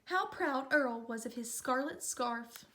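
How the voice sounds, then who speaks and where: A woman giving an expressive read-aloud narration of a children's storybook. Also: high voice, slow, a little hiss or hum. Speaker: storybook reader.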